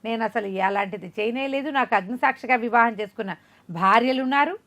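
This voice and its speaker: An accused, cruel king, as a woman storyteller puts him on, insistently protesting his innocence. The voice stays near the teller's own fairly high register, with an emphatic, repetitive denial in a spoken folk tale. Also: brisk, fairly bright tone. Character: accused cruel male king denying guilt.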